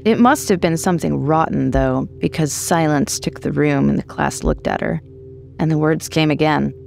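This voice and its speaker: A woman's voice: deep voice, moderate pace, vocal fry, darkish tone, faint music behind. A woman reading a horror short story as scripted narration.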